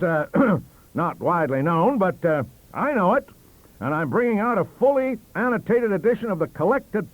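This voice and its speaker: A man voicing an excitable, boastful professor who blusters and stammers emphatically in an audiobook story. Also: sits high, dry recording, voice pushed up, low-quality recording. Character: excitable, boastful male Canadian professors squabbling loudly.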